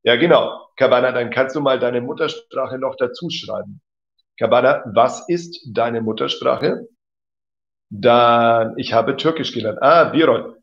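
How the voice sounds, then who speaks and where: A man's voice: mid-range voice, measured pace, vocal fry. A man teaching German in a live stream, reading out viewers' messages and praising them often. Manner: encouraging, says "genau" and "cool" often. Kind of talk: live-stream language lesson reading chat. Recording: dull lo-fi sound.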